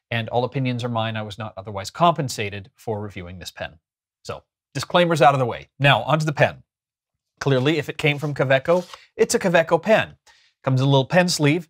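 A man in his thirties hosts a review in a chatty, explanatory way. His voice sits at an ordinary middle pitch. He talks casually to the camera, picked up by a lapel mic in a small furnished room. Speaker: host of a pen review show.

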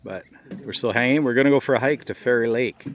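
A man's voice: medium pitch, fast talker. A middle-aged man filming his own hike outdoors, narrating casually and gushing about the scenery, with frequent laughs. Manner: enthusiastic, exclaims about the scenery, laughs often. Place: outdoors on a wooded island. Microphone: handheld camera.

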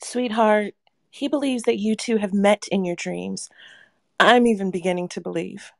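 A woman reads an affectionate young mother in a science fiction script. She is teasing, with a creaky mid-pitched voice and a very dry sound. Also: fairly even intonation, normal speed, darkish tone, dry recording, lightly acted. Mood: teasing, tender. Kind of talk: screenplay table read.

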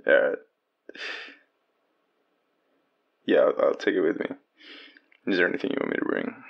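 A man playing a shy, gravelly, deep-voiced Australian friend. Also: a bit bright, highs cut off, exaggerated voice. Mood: awkward, casual. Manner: hesitant, halting, chuckling, stammering. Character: shy, easygoing young man smitten with his friend. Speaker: audio roleplay performer. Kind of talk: intimate boyfriend-style audio roleplay.

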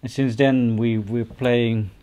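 A man's voice: mid-range voice, fairly even intonation, slow, warm tone. A man in his forties with a Dutch accent, a guest musician answering casually in an interview. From Netherlands, born in Colombia. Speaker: guest pianist. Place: indoor music studio with stage setup.

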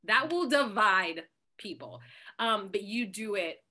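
A woman's voice: medium pitch, expressive, takes it slowly, pushed and bright. A young woman hosting a casual video call from home, full of enthusiastic praise for a guest. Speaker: host. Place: home room, indoors.

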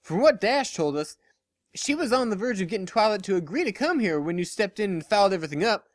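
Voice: mid-range voice, very animated, quick pace, no echo, voice pushed up, close to an everyday voice. A narrator voicing a stern, disapproving female farm pony in a story, folksy and reproachful.

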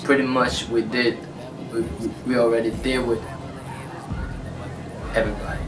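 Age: adult man. Style: relaxed interview chat. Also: medium pitch. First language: Korean. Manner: relaxed, halting, many fillers and restarts. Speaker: rapper, interview guest. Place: small dark studio room, indoors. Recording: roomy, distant sound with music behind.

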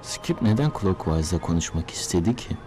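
A man's voice: deep voice, animated, a little fast. A man playing a serious, confident magician hero in a dubbed cartoon, composed and commanding. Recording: loud music behind.

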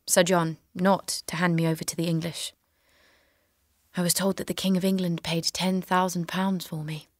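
A woman's voice: on the low side, dry recording, clean studio sound, natural voice. A woman playing a devout young captive maiden, earnest and direct, in an audio drama. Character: devout, plain-spoken young French peasant warrior maiden held prisoner.

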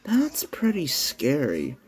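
A man voicing a laid-back, cool eighteen-year-old girl in an anime story, in a drawn-out, nonchalant way. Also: a little high, wildly swooping pitch, breathy edge, voice pushed up, exaggerated voice. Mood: hushed.

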